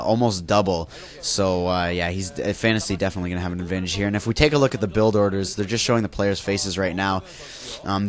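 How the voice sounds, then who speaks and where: A man's voice: on the low side, fairly bright tone. Nasal, congested man casting a game. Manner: loose, with many fillers and self-corrections. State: congested from a flu, nasal, coughing. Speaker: esports caster. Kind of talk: solo play-by-play game commentary.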